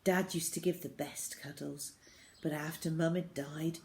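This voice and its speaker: A woman reading a children's novel aloud as an expressive storyteller. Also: low-pitched, a little slow, a little hiss or hum.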